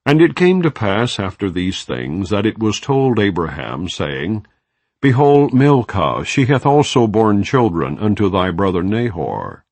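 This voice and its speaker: A man giving a measured, solemn scripture reading. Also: low voice, gravelly, dry recording. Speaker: Bible reader.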